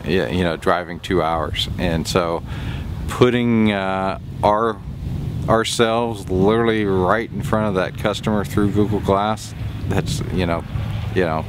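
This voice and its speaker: Deep-voiced farmer outdoors. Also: male voice. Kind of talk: casual interview answers. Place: outdoors in a farmyard under trees. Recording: outdoor ambience, clear background noise.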